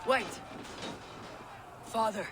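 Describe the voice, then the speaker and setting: Young Viking warrior, female, grim, searching, video game drama, noisy background. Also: extremely slow. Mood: searching, urgent. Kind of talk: dramatic game cutscene acting.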